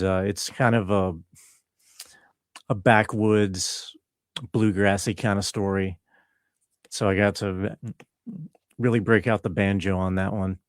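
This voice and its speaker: A man chatting casually as an interview guest, explaining his creative work in a laid-back way with pauses. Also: lowish voice, clean tone, no room sound, clean studio sound. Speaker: interview guest, composer and musician. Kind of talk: casual conversational interview talk.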